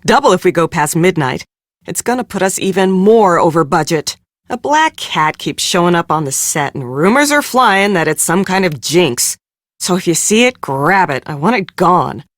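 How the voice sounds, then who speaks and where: An actress playing a harried, blunt film producer in a mystery game, brusque and sardonic. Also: female voice, on the low side, lively intonation, moderate pace, no room sound, studio quality, natural voice. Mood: irritated, weary. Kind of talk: scripted game dialogue.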